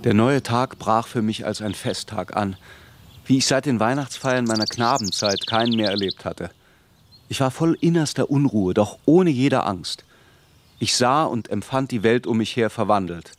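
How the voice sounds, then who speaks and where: An actor playing a reflective man who recalls his youth in measured, literary radio play narration. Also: mid-range voice, lively intonation, background noise, lightly acted. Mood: solemn, expectant.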